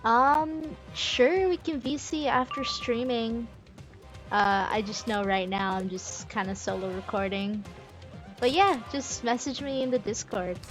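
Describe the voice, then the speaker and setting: A woman's voice: on the high side, measured pace. A woman, chatting informally as she commentates on a game livestream. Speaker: game streamer. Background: video game music.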